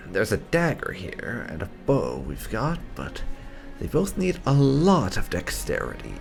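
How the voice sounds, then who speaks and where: A man's voice: on the low side, huge pitch swings, unhurried, gravelly, soft background music. A man hosting a gaming video, giving casual let's play commentary in a wry, chatty way.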